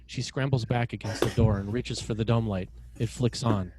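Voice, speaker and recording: A man narrating screenplay action and scene headings in a measured, dramatic way, in short phrases. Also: fairly low pitch, fairly even intonation, heavy background noise.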